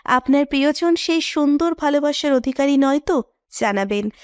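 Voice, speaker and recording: A woman's voice: sits high, animated, moderate pace, a little mellow, dry recording, studio quality. A woman narrating a scripted romantic story.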